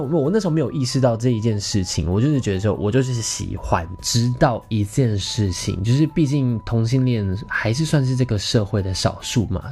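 Animated Taiwanese man telling personal stories. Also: medium pitch. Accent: Taiwanese Mandarin. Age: 30s. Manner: animated, playful, self-mocking humour. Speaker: podcast host. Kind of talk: casual personal storytelling.

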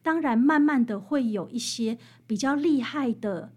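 A woman guest with a fairly high voice and a Taiwanese Mandarin accent talks in an interview. She explains things conversationally and with animation, building her account through vivid examples. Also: unhurried, a little mellow, husky. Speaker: interview guest, author and researcher of old songs.

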